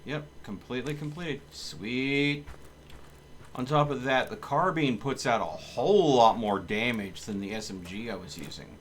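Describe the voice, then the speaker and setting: A man's voice: mid-range voice, measured pace, slight background noise. A man giving casual live game commentary, thinking aloud and talking to his viewers. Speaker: video game live streamer.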